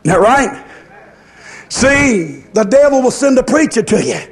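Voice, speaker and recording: A male preacher with a very high-pitched voice delivers a fervent revivalist sermon. He declaims in a sing-song cadence, circling back on phrases for emphasis and pressing rhetorical questions on his listeners. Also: very animated, a little slow.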